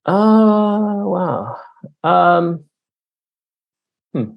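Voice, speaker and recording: A man's voice: high voice, expressive, drawn-out words, gravelly, dull audio. A man from Canada answering interview questions in a conversational, explanatory way, thinking aloud with lots of "you know" and "like". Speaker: author being interviewed.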